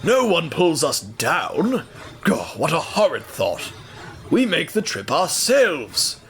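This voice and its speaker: Casting: a man as an older male talking freight wagon, genial and teasing, raised lively pitch, faint noise behind. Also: slow pace, full character voice. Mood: calm, proud. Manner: folksy, patient, teasing.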